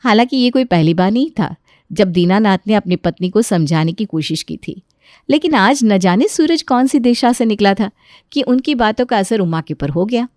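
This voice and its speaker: A woman's voice: medium pitch, animated, normal speed, darkish tone, dry recording, studio quality. A woman narrating a scripted family story as its storyteller.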